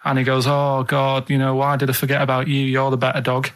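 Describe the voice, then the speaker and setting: A man's voice: medium pitch, completely flat delivery, fast talker, a bit bright, smooth. Male radio co-host, plain-spoken and rambling, casual anecdote style.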